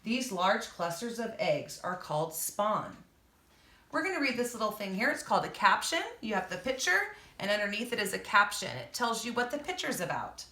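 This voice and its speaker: A woman's voice: medium pitch, sing-song, normal speed, bright, forceful tone. Woman reading aloud to children, echoey room. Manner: addresses young listeners directly, explaining as she reads. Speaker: reader and teacher for children. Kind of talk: children's read-aloud with explanatory asides.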